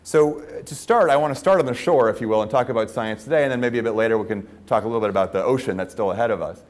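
A man in his forties opening a conference panel as moderator, speaking in a measured, articulate way on a lapel mic. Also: medium pitch. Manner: measured, articulate, with frequent "uh" fillers. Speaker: moderator, professor. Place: conference stage.

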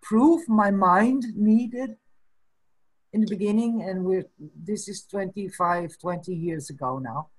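A Dutch woman in her fifties whose first language is Frisian, talking slowly and thoughtfully in a casual chat over a webcam from a small room. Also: mid-range voice, slightly rough, rough recording. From Netherlands. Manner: slow and thoughtful, breaks into small laughs. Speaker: guest. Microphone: video call webcam.

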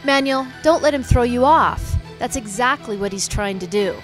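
A man voicing a calm teammate in a narrated story, explaining and reassuring. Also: very high-pitched, moderate pace, soft background music, pitched above the performer's own voice. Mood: concerned. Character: calm, advising teammate with lightened voice. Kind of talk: acted character in narrated fan story.

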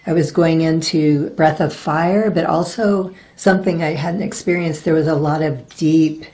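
A woman in her forties speaks to her webcam about her own experiences, her voice a little raspy and gravelly with some vocal fry. Her pitch sits on the low side. She rambles loosely and keeps stopping to hunt for the next word. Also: steady tone, unhurried, darkish tone. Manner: rambling, searching for words with many ums. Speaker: reiki practitioner. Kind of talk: casual spiritual vlog talk. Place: indoors, virtual blossom backdrop.

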